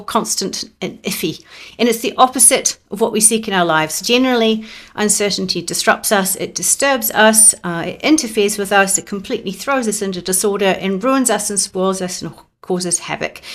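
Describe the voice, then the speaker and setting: A woman giving a prepared academic talk remotely into a close mic, in a measured, reflective manner. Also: medium pitch. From New Zealand. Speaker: conference presenter. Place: presenting remotely over the internet. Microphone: close microphone.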